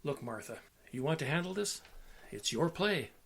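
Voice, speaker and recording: An actor playing a brisk, practical police lieutenant in an old-time radio drama. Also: male voice, on the high side, animated, creaky, fairly bright tone. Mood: businesslike.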